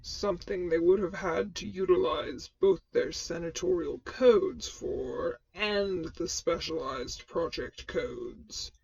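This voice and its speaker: A woman voicing a menacing, formal armored male warlord in an audio fan fiction, with a gravelly, vocoder-filtered growl. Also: fairly low pitch, slow, hardly any pauses, darkish tone, no echo, highs cut off, exaggerated voice. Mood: sarcastic anger. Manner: formal, clipped, sarcastic. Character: menacing, stern armored male Sith lord, protective mentor.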